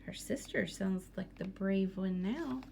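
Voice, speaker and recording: A woman's voice: medium pitch, a little slow, creaky, a little mellow, slight background noise. A woman teacher reading a picture book aloud to students, expressively, with asides and questions for young listeners.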